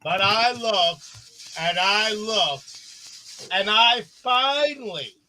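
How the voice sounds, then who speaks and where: A man's voice: high-pitched, lively intonation, very slow, pushed and bright, faint music behind, poor audio. A man hosting a drag podcast, brash and campy, gossiping and swearing freely. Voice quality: says voice has less gravel than before. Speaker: drag queen podcast host.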